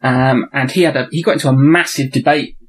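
A man's voice: mid-range voice, steady tone, brisk, slightly rough. A man with a British accent chatting casually as a panelist on a podcast. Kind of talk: casual chat.

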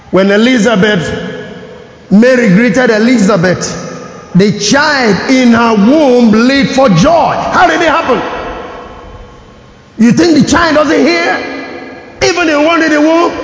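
Nigerian man preaching a sermon, high-pitched voice, animated and calling out to the congregation, dull lo-fi recording. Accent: Nigerian English. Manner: animated preaching, calls for audience response. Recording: echoey hall, dull lo-fi sound.

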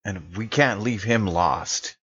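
A man's voice: fairly low pitch, takes it slowly, a bit bright. A man playing a tabletop roleplaying game with casual, folksy in-character banter, on a slightly muffled recording.

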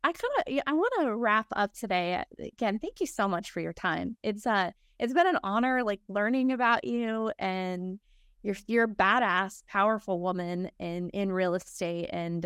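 A woman host talks over a video call in a smooth, resonant voice, warm and full of thanks, often pausing with fillers. The sound is dry and close, with no room echo. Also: medium pitch. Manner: warm, appreciative, with filler words and restarts. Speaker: podcast host. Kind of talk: casual interview chat.

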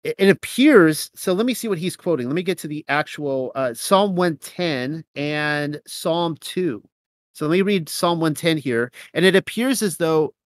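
A man's voice: fairly high pitch, a bit bright, no echo. A man with a cold and a slightly nasal voice, reading scripture aloud and then commenting casually with fillers. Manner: reads verses steadily, then comments loosely with fillers. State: has a cold, sounds nasal. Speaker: host of a scripture reading show.

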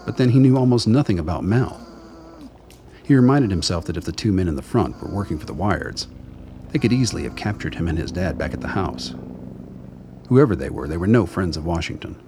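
Male narrator for an audio drama. Very low register, scripted storytelling, ambience underneath. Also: lively intonation, brisk, mellow, slight background noise.

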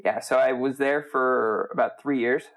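A man's voice: mid-range voice, fairly even intonation, moderate pace, creaky, a bit bright, low-quality recording. A man with an American accent, a student guest answering interview questions in a casual, conversational style. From United States. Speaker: MBA student, podcast guest.

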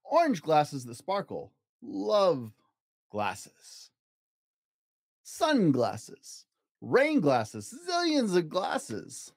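A man's voice: high voice, wildly swooping pitch, extremely slow, creaky, no echo, clean studio sound, voice pushed up, heavily acted. Man putting on a stubborn, swooping little girl. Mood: dramatic. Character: stubborn, dramatic little sister who wants glasses.

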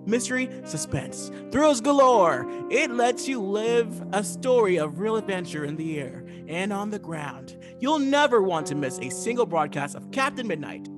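A woman's voice: medium pitch, close to an everyday voice. A woman playing an eager, emphatic announcer promoting an adventure serial in a 1940s radio style.

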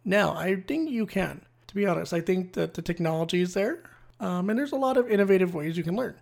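Solo explainer host: conversational, opinionated, mid-pitched, plenty of vocal fry. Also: animated, fairly quick, a little mellow. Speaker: host of a language-learning series. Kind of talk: casual solo explainer talk.

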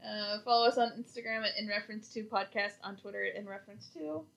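A woman's voice: medium pitch, fairly even intonation, fast, vocal fry, fairly bright tone, poor audio. A woman co-hosting a podcast in casual chat, trading dry, teasing quips. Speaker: podcast co-host.

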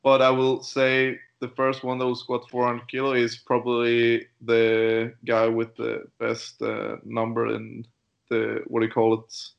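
Slow, halting man from Denmark. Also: mid-range voice, completely flat delivery, creaky, dull audio. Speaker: guest powerlifter. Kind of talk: casual interview chat.

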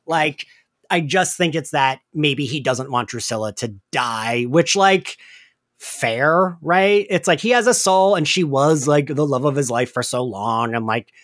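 A man's voice: a little high, a little slow, a bit bright, no echo, studio quality. A man hosting a podcast in a casual, chatty and emphatic conversational way. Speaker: podcast host. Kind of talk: casual discussion.

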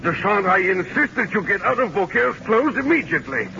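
An actor playing a fussy, pompous French prime minister, indignant and exasperated, in a 1940s radio comedy. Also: male voice, high-pitched, big pitch swings, a little fast, gravelly, exaggerated voice. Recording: muffled, noisy 1940s radio broadcast.

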